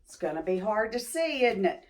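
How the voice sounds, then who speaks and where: A woman reading a picture book aloud to young children, expressively, pausing to ask them questions. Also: medium pitch. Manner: expressive, drawn-out words, teacherly prompting. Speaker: children's read-aloud storyteller.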